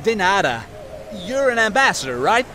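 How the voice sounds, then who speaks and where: A man playing a wisecracking, excitable young speedster superhero in a comic-book drama. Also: high-pitched, moderate pace, heavy background noise. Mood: cocky. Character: quick, flippant young male speedster superhero. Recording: loud music under the voice.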